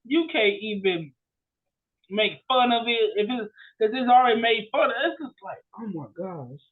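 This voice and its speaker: A man co-hosting a casual podcast, telling stories and ranting in an animated, slangy, exclamatory way. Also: very high voice, normal speed, phone-quality sound. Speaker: podcast co-host. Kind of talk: casual chat, storytelling and ranting.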